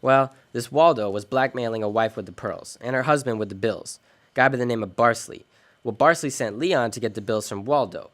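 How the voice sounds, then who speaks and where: An actor playing a world-weary, decent American private detective in an old-time radio drama, wry and clipped. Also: male voice, medium pitch, fairly quick, a bit bright, natural voice. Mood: matter-of-fact. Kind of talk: 1940s detective radio drama, first-person narration and dialogue.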